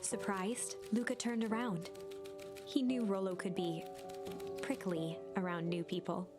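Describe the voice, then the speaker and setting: Woman acting as female storybook narrator, measured, descriptive, scripted video game mystery, clear music underneath. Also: mid-range voice, steady tone, natural voice. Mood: measured storytelling.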